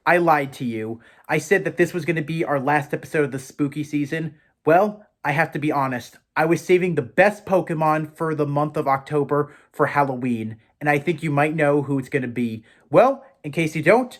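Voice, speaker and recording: A man's voice: on the high side, a bit bright. A man presenting a scripted fan video series as its host, with a playful, theatrical manner of addressing viewers. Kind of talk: scripted presenter narration to fans.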